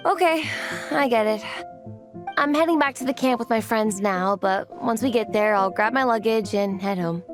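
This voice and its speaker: A woman's voice: on the high side, normal speed, music in the background, close to an everyday voice. A woman playing an earnest, slightly defensive teenage daughter in a game cutscene. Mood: resigned. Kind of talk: English game dub cutscene acting.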